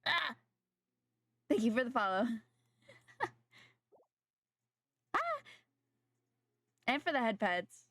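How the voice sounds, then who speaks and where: A woman's voice: fairly high pitch, wildly swooping pitch, slow pace, long pauses, a bit bright, dry recording. A young adult woman streaming a game, chatting casually with viewers in a slightly nasal voice from a sore throat, rambling and correcting herself. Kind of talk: casual live-stream chat while gaming.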